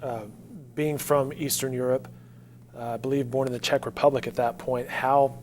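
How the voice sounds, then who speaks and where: Man, medium pitch, interview host, conversational presenter style, light background hum. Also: little pitch movement, moderate pace, darkish tone. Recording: faint background noise with hum.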